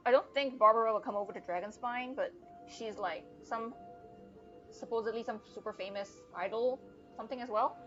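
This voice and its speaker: Casting: female game streamer, slightly high, informal commentary, lo-fi mic over game music. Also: brisk. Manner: informal, slangy, reacts aloud to the game. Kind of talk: casual live gameplay commentary. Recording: dull lo-fi sound, game music behind.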